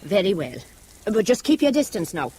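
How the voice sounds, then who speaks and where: An actress playing a devout, sharp-witted woman in an audio drama, debating firmly and wryly. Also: mid-range voice, big pitch swings, breathy edge. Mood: wary. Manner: quick-witted, firm, wry debater.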